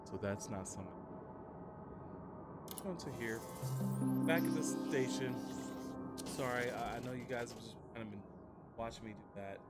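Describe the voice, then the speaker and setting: A man livestreaming a game in a casual, halting way, thinking aloud and giving short voice commands. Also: mid-range voice, over loud music.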